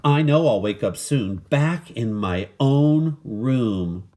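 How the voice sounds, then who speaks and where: A man's voice: mid-range voice, animated, slow pace, dark tone. A man voicing an earnest, wondering young boy in a storybook. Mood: anxious. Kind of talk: storybook character voice.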